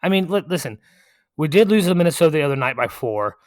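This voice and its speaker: A man co-hosting a casual sports podcast, giving agreeable, measured, plainspoken answers. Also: a little high, big pitch swings, no echo, clean studio sound. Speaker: podcast co-host.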